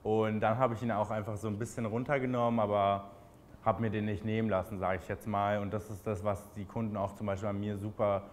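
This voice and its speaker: A man in his twenties with a Berlin accent, answering interview questions in a relaxed, chatty way with many fillers. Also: fairly low pitch, brisk, slight background noise. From Berlin, Germany.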